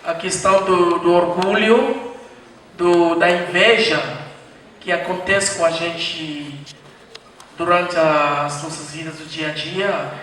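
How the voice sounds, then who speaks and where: A man hosting a post-screening discussion, explaining things at an unhurried pace and pausing to gather his thoughts. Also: on the high side, steady tone, fairly bright tone. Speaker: panel host. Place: screening room, large hall. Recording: echoey hall, distant, faint noise.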